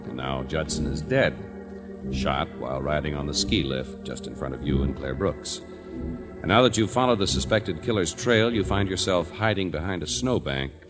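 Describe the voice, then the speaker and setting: An extremely deep-voiced man announces a radio drama over clear music. He narrates in a measured, dramatic 1940s style. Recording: old radio show with music underneath.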